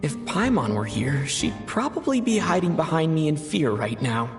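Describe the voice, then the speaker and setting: Composed, inquisitive young traveler, varied intonation, video game mystery over clear music. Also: medium pitch, very animated, normal speed. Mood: wry.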